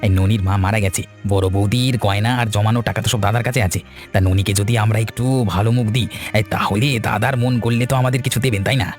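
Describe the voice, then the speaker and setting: Man voicing sly cartoon village husband, low pitch, rapid-fire, coaxing, faint music behind. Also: steady tone, natural voice. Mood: scheming. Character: village husband, coaxing and slyly greedy younger brother. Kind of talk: cartoon dialogue.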